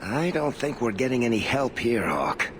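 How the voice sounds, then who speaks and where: An actor playing a gruff, grumbling dwarf adventurer in a video game. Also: male voice, mid-range voice, sing-song, moderate pace, noisy background, natural voice. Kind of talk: dramatic video game acting.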